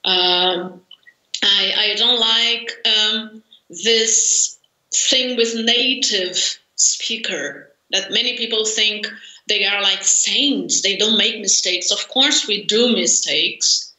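Slow-speaking Brazilian woman on a video call. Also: medium pitch, pushed and bright. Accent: Brazilian Portuguese. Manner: thoughtful, laughs often, many fillers. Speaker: interview guest, language learner. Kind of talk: conversational interview. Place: home room, indoors. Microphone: video call webcam. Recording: roomy video call audio.

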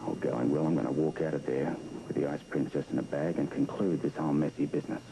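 A very low-voiced methodical man laying out instructions in clipped, deliberate phrases. His voice has a gravelly edge. It is soap opera dialogue on a noisy old videotape. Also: fairly even intonation. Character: methodical male schemer planning a covert switch, impatient and cautious. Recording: noisy worn VHS tape of television.

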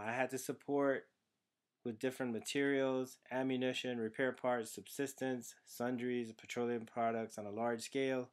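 Earnest man reading his class video essay. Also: mid-range voice. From Trinidad and Tobago. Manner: earnest, steady, partly read, with some "uh" fillers. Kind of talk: prepared personal presentation. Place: small bedroom or home room, indoors. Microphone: built-in webcam microphone, close framing.

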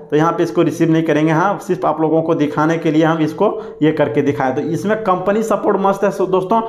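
Guy with a high voice reading off app menus, echoey room. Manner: lists app features one by one. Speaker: app tutorial host. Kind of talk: screen-recorded tutorial voice-over. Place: indoors, voice-over to a phone screen recording.

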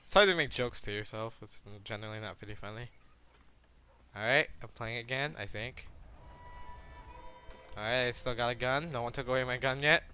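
A man's voice: mid-range voice, moderate pace, long pauses, pushed and bright, clear, resonant tone, faint music behind. A man giving casual, joking commentary while playing a video game, rambling and self-deprecating. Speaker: let's play gamer.

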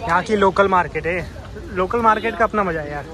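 A man's voice: sits high, a little fast. A chatty man vlogging casually as he walks around an outdoor market, often repeating himself. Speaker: vlogger filming a market tour. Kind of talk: casual street vlog chat. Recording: loud busy street noise, crowd and traffic.

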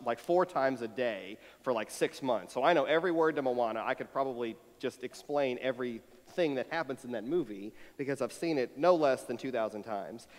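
An American man in his thirties, a pastor giving a conversational, personal sermon with a reflective, storytelling manner at a church pulpit. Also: fairly high pitch. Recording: long hall reverb from church sanctuary.

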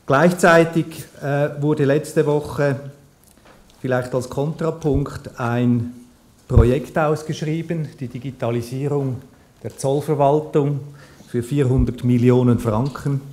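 A man's voice: medium pitch, fairly even intonation, darkish tone. Man in a very echoey lecture hall. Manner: measured, dry, with frequent hesitation fillers. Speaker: keynote speaker, company CEO. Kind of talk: conference keynote talk. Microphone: lectern microphone. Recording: very echoey hall with faint noise.

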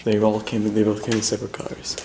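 A young man with a fairly low voice talks his way through an abandoned house, guessing aloud at rooms and going hushed when worried. The empty rooms ring around him. A steady noise sits under the camera audio. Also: brisk, reverberant. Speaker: urban explorer filming. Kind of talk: casual exploring commentary.

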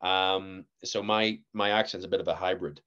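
Northern Irish young man, low voice. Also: moderate pace, smooth, muffled recording. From Northern Ireland. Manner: animated storytelling, laughs often. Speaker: guest actor. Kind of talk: casual podcast chat.

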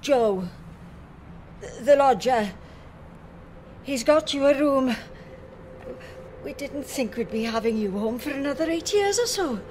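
A woman's voice: high-pitched, lively intonation, measured pace, long pauses, darkish tone. A woman playing a flustered, timid, middle-aged British working-class mother in a radio drama. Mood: flustered, evasive. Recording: radio drama with noisy background.

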